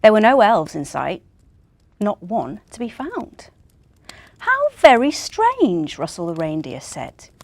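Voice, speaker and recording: A woman's voice: fairly low pitch, very animated, measured pace, darkish tone, no echo. A woman reading a children's story expressively.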